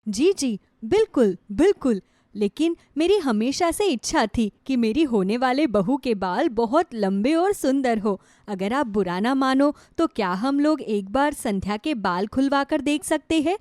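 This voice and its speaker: A woman's voice: high-pitched, expressive, unhurried, darkish tone, no room sound, studio quality, pitched below the performer's own voice. A woman voicing a polite but fussy, judgmental middle-aged prospective mother-in-law in a moral story cartoon.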